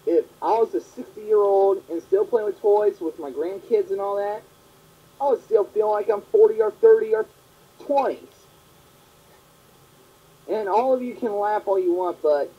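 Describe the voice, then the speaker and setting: Young man, hoarse, husky voice, rambling and earnest, repeats himself, dull lo-fi recording. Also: fairly high pitch. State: sore throat, partly lost voice from a long cough. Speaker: host of a fan question show. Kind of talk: casual monologue answering fan questions.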